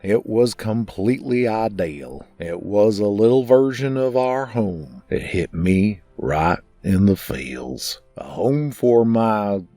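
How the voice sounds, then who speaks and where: A man's voice: fairly low pitch, animated, slow, hardly any pauses, dark tone, no echo, faint music behind. A man voicing a big brother farm pony who tells a rhyming holiday story. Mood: calm storytelling.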